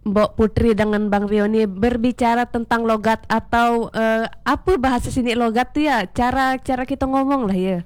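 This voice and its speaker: A woman hosting a relaxed radio chat, her voice a little high and set close in a dry, dead space. She talks chattily and keeps turning to address the listeners directly. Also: normal speed, no room sound. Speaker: radio host.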